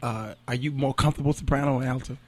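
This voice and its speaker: A man hosting a casual radio interview, friendly and quick to laugh, with plenty of fillers. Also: mid-range voice, steady tone. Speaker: radio show host.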